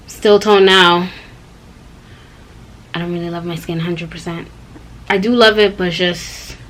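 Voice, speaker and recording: A young woman vlogging in a loose, rambling way, addressing viewers directly. Her voice sits a little low for a woman. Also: a bit bright, a little hiss or hum, rough recording. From Canada. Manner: rambling, chatty, talks straight to viewers. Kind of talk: casual personal vlog talk.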